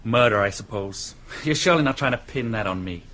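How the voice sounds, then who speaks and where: An actor playing a defiant, rough middle-aged man held by police in a radio play, talking back in a belligerent, evasive way. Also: fairly low pitch, lively intonation, a little fast, a bit bright. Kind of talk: radio drama acting.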